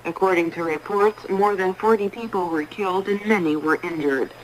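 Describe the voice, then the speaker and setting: A woman reading a formal scripted radio news bulletin in a measured, impersonal way, on a 1980s shortwave broadcast. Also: fairly low pitch, a little hiss or hum, low-quality recording. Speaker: newsreader.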